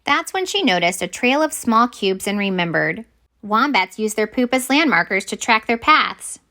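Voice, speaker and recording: A woman reading a children's picture book aloud as a storyteller, expressive and deliberate. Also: on the high side.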